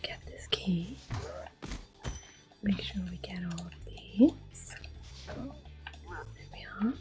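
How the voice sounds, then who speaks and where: A woman giving gentle, unhurried ASMR gameplay commentary in a soft, whispered voice, reassuring and close to the microphone. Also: medium pitch, animated, music in the background. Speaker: ASMR gaming host.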